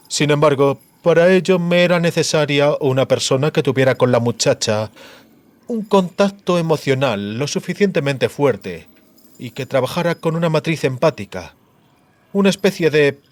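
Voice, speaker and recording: A man voicing a cruel, disfigured sorcerer in a measured, theatrical monologue, coldly gloating and menacing. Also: on the high side, dry recording, lightly acted. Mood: calculating, gloating.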